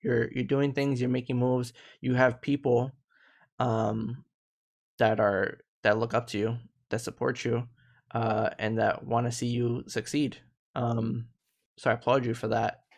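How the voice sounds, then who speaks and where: A man's voice: mid-range voice, level tone, a little slow. A man in his thirties hosting a casual live panel discussion, earnest and reflective, often restarting his sentences. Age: 30s.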